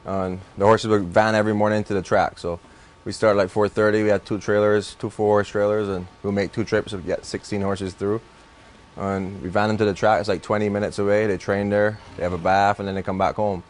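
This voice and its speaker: A man's voice: on the low side, flat delivery, a little fast. A young Barbadian man, a racehorse trainer, chatting loosely in an interview with many restarts and fillers. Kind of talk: casual interview.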